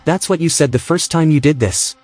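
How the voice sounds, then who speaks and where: An actor playing a wry, reluctant, anxious tech colleague in a naturalistic audio drama, with a dry manner. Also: male voice, a little high, brisk, over music, natural voice. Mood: dry, matter-of-fact.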